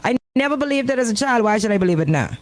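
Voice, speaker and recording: A woman with a Bahamian accent who co-hosts a radio show, chatting casually in an animated, opinionated and emphatic way. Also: fairly high pitch, very fast. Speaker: radio host.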